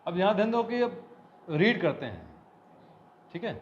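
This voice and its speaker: A man's voice: sits high, very animated, moderate pace. A man teaching a hands-on electronics repair lesson, explaining step by step and asking and answering his own questions. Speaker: automotive electronics trainer. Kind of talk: hands-on technical tutorial. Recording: slightly dull recording, faint background noise.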